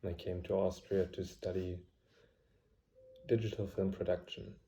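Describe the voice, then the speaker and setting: Very dark, low-voiced young man. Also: flat delivery, normal speed, creaky, a little hiss or hum. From Germany. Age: 20s. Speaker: student interviewee. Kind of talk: casual interview answers.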